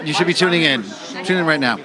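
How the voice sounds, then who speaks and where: A man co-hosting a live backstage stream, trading quick jokes with guests at a busy awards lounge. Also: on the high side, a crowd in the background. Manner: jokey, quick banter. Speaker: co-host. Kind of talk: joking live stream chat.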